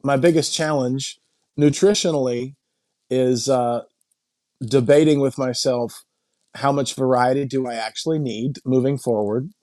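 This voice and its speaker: A middle-aged man talking casually and reflectively as a podcast guest, thinking aloud in a halting way and repeating phrases. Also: mid-range voice, steady tone, darkish tone, no echo. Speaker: podcast guest, coaching client. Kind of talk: casual reflective interview talk.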